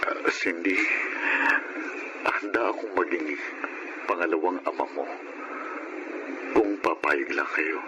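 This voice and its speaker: Man playing low-voiced remorseful middle-aged man, noisy recording. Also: takes it slowly, pauses often. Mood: regretful, earnest. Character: remorseful middle-aged funeral parlor owner, kindly man. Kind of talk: Filipino radio-style melodrama acting. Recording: clear background noise, radio-like sound.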